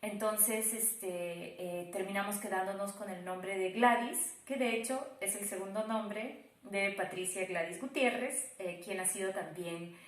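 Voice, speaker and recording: A woman's voice: mid-range voice, measured pace, hardly any pauses. A woman explaining a creative project conversationally, thoughtful and often correcting herself as she goes. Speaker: production team member. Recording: echoey room.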